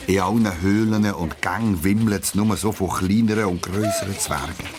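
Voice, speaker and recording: A man's voice: deep voice, background noise. A man narrating a children's audio story expressively, drawing out key words. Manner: expressive storytelling, drawn-out emphasis.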